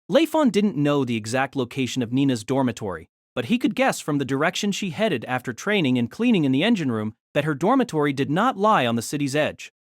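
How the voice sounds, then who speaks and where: A man narrating a novel aloud in an expressive storytelling manner. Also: a little high, no room sound, clean studio sound.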